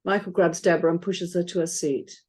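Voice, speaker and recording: A woman's voice: fairly low pitch, fairly even intonation, a little fast, a little mellow. A woman reading out stage directions as scripted narration.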